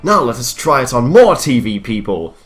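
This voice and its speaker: A man's voice: mid-range voice, big pitch swings, normal speed, voice pushed up, natural voice. A man voicing a smug, scheming teenage boy in a comic fan-story reading, close to his own voice. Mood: eager.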